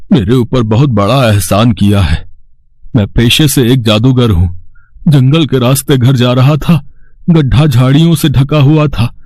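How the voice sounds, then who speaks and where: A man's voice: mid-range voice, dark tone, dry recording, voice pushed down, close to an everyday voice. A man voicing a grateful, weakened old magician in a cartoon story, weary and earnest.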